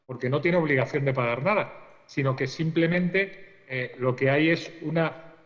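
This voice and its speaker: A man from Spain gives a detailed technical answer on a conference panel, with a slightly high voice. He explains step by step and keeps checking that his listeners follow him. The sound is muffled and rings in a large hall. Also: normal speed, a bit bright. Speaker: public accounting official.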